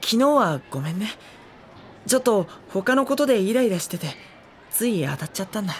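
A man playing an introspective, gentle high-school boy who narrates his troubles in an audio drama. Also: very animated, fairly quick, slight background noise. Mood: subdued, polite. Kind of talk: Japanese drama CD acting, monologue and dialogue. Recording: studio drama with prominent music underneath. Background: prominent instrumental music.

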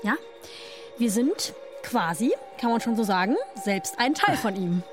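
A woman's voice: on the high side, animated, a little slow. A woman playing a chirpy, teasing podcast co-host in casual banter with youthful slang, over music. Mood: playful, excited. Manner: playful, exclaiming, youthful slang. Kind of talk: scripted banter played as casual podcast chat.

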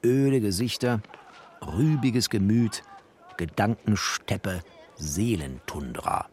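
A man's voice: on the low side, animated, takes it slowly, darkish tone, slight background noise. An actor playing a scornful bookish man in a radio drama, declaiming a sardonic literary monologue. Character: scornful bookish man mocking popular culture.

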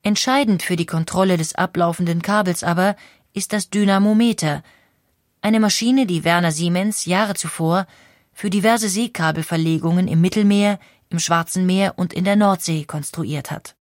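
A woman reading a scripted documentary narration in a clear, explanatory way. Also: fairly low pitch, moderate pace, no echo, clean studio sound.

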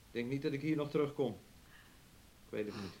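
An actor in a radio play playing a callous, coaxing man who talks with quiet insistence. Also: medium pitch, quick pace, close to an everyday voice. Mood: detached, casual. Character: callous, coaxing man exploiting a sick woman.

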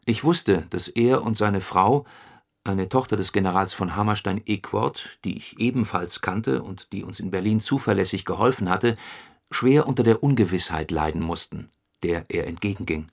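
A man's voice: deep voice, normal speed. An actor playing a sober, analytical political functionary reciting his memoir in a radio play. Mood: sober, reflective. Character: sober communist functionary recollecting a comrade in secret work.

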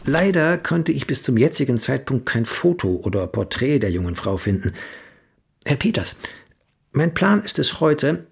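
Actor as a creaky, highly animated scholar. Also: male voice, full character voice. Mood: excited, formal. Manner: formal, bookish, theatrical. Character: excited, formal, obsessive male archive researcher. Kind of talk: dramatic letter narration in radio drama.

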